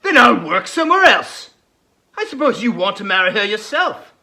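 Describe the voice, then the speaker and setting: A man's voice: sits high, very animated, normal speed, bright, forceful tone, exaggerated voice. A man playing a defiant, indignant, hot-headed young fiancé in a dramatized novel reading.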